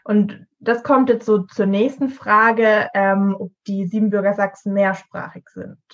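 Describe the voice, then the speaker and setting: Female, early twenties, student podcast co-host, informative delivery, average pitch, dull recording. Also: steady tone, measured pace. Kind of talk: prepared explanatory podcast talk.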